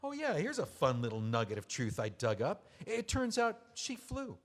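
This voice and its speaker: Give me an actor voicing a bitter, sarcastic widower for an audio play, voice a bit high, in a long-echo room. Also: male voice, wildly swooping pitch, normal speed. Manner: sarcastic, seething, mocking. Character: bitter betrayed widower, man. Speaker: widower writing a memoir. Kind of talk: audio drama acting. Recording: long hall reverb.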